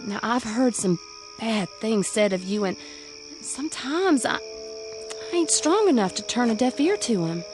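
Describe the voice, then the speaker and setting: High-voiced earnest Southern country sweetheart. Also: female voice, animated, a little slow, over music. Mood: hesitant, tender. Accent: rural Southern US. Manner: pleading, plain-spoken. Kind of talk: radio drama acting.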